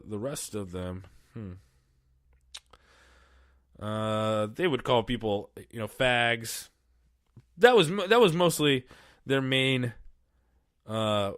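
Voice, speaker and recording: A man's voice: mid-range voice, no echo, clean studio sound. A man hosting a solo podcast, reading aloud and then riffing in a casual, profane, rambling way. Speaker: podcast host. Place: small studio.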